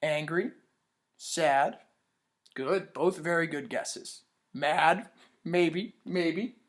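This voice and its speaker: A man's voice: a little high, drawn-out words. A man teaching young children in a read-aloud lesson, upbeat, asking questions and praising answers.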